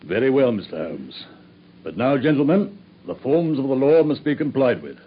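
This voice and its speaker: An actor playing a formal, courteous Victorian police inspector with a British accent in a radio drama. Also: male voice, mid-range voice, very animated, normal speed, a little hiss or hum, lightly acted. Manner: official, courteous. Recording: dull, muffled radio drama recording.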